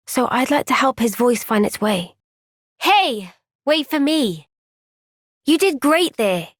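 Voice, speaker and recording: An actress voicing a young woman soldier in a game cutscene, soft-spoken and caring. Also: sits high, dry recording, clean studio sound.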